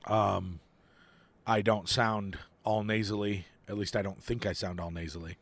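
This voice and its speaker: A young man co-hosting a casual podcast, chatty and quick to laugh, recorded outdoors on a porch. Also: lowish voice, flat delivery, no echo. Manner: chatty, laughs often, talks over his co-host. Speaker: podcast co-host. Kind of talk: casual chat.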